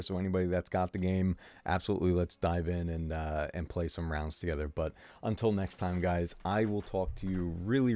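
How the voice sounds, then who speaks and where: Low-voiced man, hoarse, chatting to stream. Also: dark tone, no echo. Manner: rambling, many ums and uhs, chatty to viewers. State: losing his voice, sore throat. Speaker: gaming streamer. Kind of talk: casual live-stream chat.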